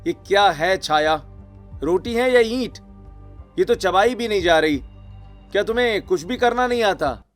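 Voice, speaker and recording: Cartoon casting: man as short-tempered young husband, highish voice, emphatic, complaining, light music under. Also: expressive, a little slow, long pauses. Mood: angry. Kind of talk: Hindi cartoon story voice acting.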